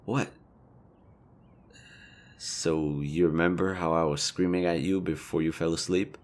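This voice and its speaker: Man acting as low-voiced, awkwardly flirty elven leader. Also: steady tone, a little slow. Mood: teasing. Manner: conversational, hesitant, rambling, casually profane. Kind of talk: intimate roleplay audio drama.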